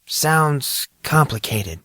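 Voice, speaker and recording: Man voicing an awed, hesitant teenage boy, higher-pitched. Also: animated, extremely slow, sharp tone, dry recording, heavily acted. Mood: curious, stalling. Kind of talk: audiobook character dialogue.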